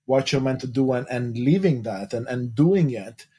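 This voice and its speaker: A man from Romania hosts a podcast conversation in a husky, slightly breathy voice. He talks in a reflective way, working through his ideas in long searching sentences. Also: mid-range voice, animated, normal speed, dark tone. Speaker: podcast host. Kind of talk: casual interview chat.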